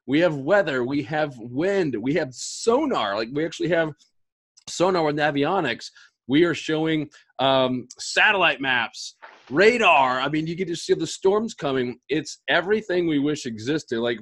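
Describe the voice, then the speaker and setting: A man in his thirties hosting an excited livestream pitch, hoarse from allergies, talking fast with lots of energy in a home office. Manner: energetic, gestures a lot. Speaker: host. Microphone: headset and studio mic.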